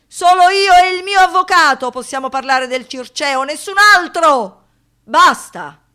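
An anguished, defiant woman in a radio play monologue. Her voice is fairly high and sharply bright. She swings between hushed, wounded murmurs and impassioned outcries, with theatrical intensity. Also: animated, a little slow, exaggerated voice. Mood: desperate outrage. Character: anguished, defiant woman, survivor of a brutal assault.

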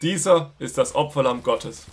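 An actor playing a fervent desert prophet in a biblical radio drama, speaking in a raised, proclaiming way. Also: male voice, medium pitch, moderate pace, a little hiss or hum, lightly acted.

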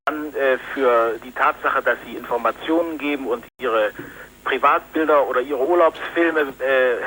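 A man's voice: mid-range voice, bright, forceful tone. A man, a magazine editor, speaking firmly and defensively over the phone, hesitating often with ums. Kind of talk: phone interview. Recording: tinny phone line with faint noise.

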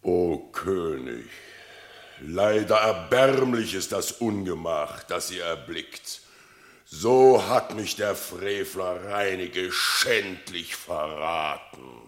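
Deep, miserable bear. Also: male voice, expressive. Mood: wretched. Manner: slow, heavy, lamenting. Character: battered, miserable male bear courtier. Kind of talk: classic verse fable radio play. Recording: roomy echo.

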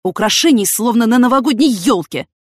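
A woman's voice: a little high, expressive, fairly quick, no echo, clean studio sound, voice pushed up. A woman voicing a jealous, clumsy male police investigator in an audiobook, complaining and reproachful. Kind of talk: audiobook character acting.

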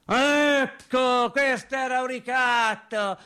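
A plainspoken factory worker and husband with a high-pitched voice. He tells his tale slowly in a colloquial radio play monologue, animated and comically exasperated, circling back over his words. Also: smooth, full character voice. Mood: indignant. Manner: animated, hesitant repetitions, comic indignation.

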